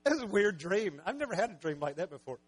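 A man preaching a sermon with a raspy voice, talking conversationally and joking with the congregation. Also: high-pitched, very animated, fast talker. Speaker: pastor.

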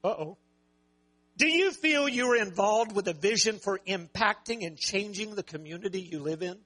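Raspy-voiced high-pitched male preacher. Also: lively intonation, normal speed, sharp tone. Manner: conversational, joking asides to congregation. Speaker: pastor. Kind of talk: sermon.

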